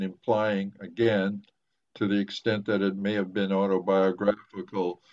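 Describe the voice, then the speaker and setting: An older man speaking as a professor leading a literary discussion, halting and deliberate, with long pauses, over a video call. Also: lowish voice. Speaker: professor moderating a book discussion. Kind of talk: literary discussion, lecturing informally. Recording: muffled, dull video call audio.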